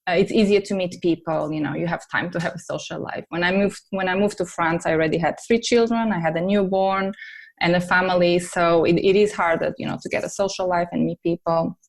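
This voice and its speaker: A woman's voice: lowish voice, steady tone, a little fast. A middle-aged woman from Portugal, answering questions as a guest in a conversational interview. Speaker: podcast guest, academic editor.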